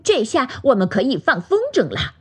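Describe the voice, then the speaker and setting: A woman's voice: a little high, big pitch swings, normal speed, husky, voice pushed up. A woman voicing a friendly, eager puppy in a children's storybook, coaxing and calling out. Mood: happy.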